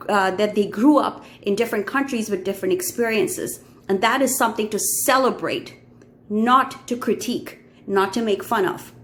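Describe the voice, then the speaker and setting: Woman, Indian accent, mid-pitched, sincere reflective vlogger who pauses and restarts. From Middle East. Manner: earnest, heartfelt, with frequent fillers and restarts. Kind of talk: reflective personal vlog.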